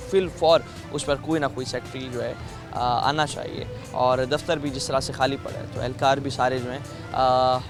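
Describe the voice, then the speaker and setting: A man reporting the news and then interviewing a guest as anchor, earnest and drawn-out, pausing often on fillers. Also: mid-range voice. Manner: earnest, drawn-out delivery with many filler pauses.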